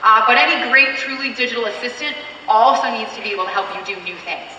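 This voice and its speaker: A woman's voice: a little high, fast talker, pushed and bright, highs cut off, slight background noise. Upbeat Canadian woman presenting on stage. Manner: upbeat, chatty, filler-heavy. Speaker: keynote presenter. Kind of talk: live product demo on stage. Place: large convention hall stage. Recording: distant mic in echoey hall.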